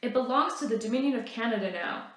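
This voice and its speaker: Storybook major, female reader: officious, indignant bluster, higher-pitched delivery, strong room echo. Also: fast talker, lightly acted. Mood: flustered, indignant. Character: indignant, pompous male army major surveying land.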